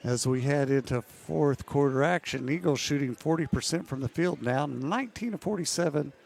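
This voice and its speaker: A man calling a women's college basketball game play-by-play, losing his voice and clearing his throat now and then. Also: fairly high pitch. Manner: steady, measured calls that rise on big plays. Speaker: play-by-play announcer.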